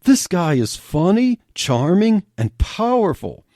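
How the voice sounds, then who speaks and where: A man voicing a bashful, fumbling ranch hand who stammers with excitement. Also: sits high, slow, no echo, studio quality, voice pushed up, full character voice. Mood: flustered, awed.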